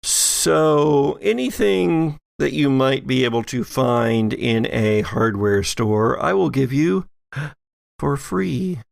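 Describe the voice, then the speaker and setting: Creaky-voiced man running a game. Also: mid-range voice, expressive, slow pace, no room sound, clean studio sound. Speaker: game master. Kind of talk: narrating a tabletop role-playing game. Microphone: close studio microphone.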